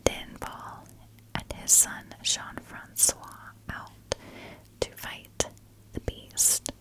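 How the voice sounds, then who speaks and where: A woman narrating a story as ASMR in a soft, unvoiced whisper, speaking deliberately in short phrases. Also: low-quality recording. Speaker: ASMR storyteller, channel host.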